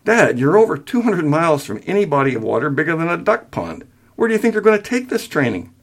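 Man as exasperated adult son, story reading, emphatic, sarcastic, highly animated intonation. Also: quick pace. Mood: patient, puzzled. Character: exasperated, reasonable grown-up son, patient turning incredulous. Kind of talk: acted dialogue in a story reading.

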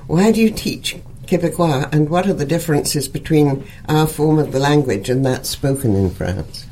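A woman hosting a radio-style talk show, asking a guest questions in a measured, encouraging way. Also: deep voice, warm tone, a little hiss or hum, poor audio. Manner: measured, encouraging, frequent short acknowledgements. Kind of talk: interviewing a guest.

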